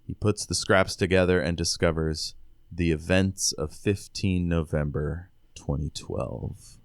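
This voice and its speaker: Deep-voiced man chatting about books. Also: unhurried, gravelly, smooth, no echo, studio quality. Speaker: podcast co-host. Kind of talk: casual book discussion with chapter recaps.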